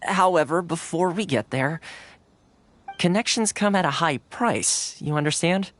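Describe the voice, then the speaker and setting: An actor playing an affable, easygoing young fixer with a casual, persuasive manner, in an anime video game dub. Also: male voice, fairly high pitch, measured pace, a bit bright. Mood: musing, sly. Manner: casual, chuckling, persuasive explainer.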